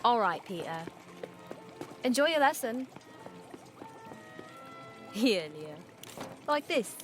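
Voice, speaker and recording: A woman as a lively, chiding servant girl. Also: a little high, slow pace, music in the background. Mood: resigned. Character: sharp-tongued, sensible young servant girl. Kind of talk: audio drama acting.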